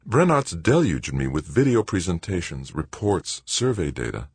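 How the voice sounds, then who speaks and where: A man's voice: deep voice, very animated, normal speed, breathy edge, dry recording, exaggerated voice. A man voicing a weary, reluctant mother who is a corporate executive, in an audiobook story. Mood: weary, resistant.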